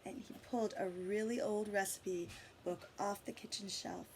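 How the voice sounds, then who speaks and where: A woman reading a picture book aloud to children, with dramatic emphasis, drawn-out words and asides to her listeners. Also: mid-range voice, steady tone, a little mellow, slight background noise, low-quality recording. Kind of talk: storybook read-aloud with asides and questions to listeners.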